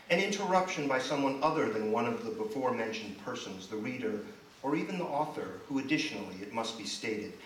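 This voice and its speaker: Male stage narrator, formal and measured but animated in pitch, heard from afar in a very echoey hall. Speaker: reader in a live staged reading. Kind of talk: scripted literary narration performed on stage. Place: live theater performance. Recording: echoey hall, distant, faint noise.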